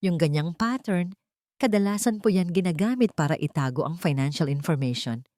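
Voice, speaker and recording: A woman's voice: fairly low pitch, lively intonation, moderate pace, darkish tone, dry recording, clean studio sound, lightly acted. A woman with a Filipino accent voicing a polite, hesitant, clever young male mechanic in a narrated story. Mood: shy. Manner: deferential, hesitant.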